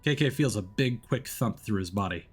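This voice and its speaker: Guy streaming a game, kinda low voice, reads story bits and comments casually, bit of music in the back. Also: expressive, moderate pace. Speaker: streamer playing a video game. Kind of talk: casual game commentary mixed with dramatic read-aloud narration.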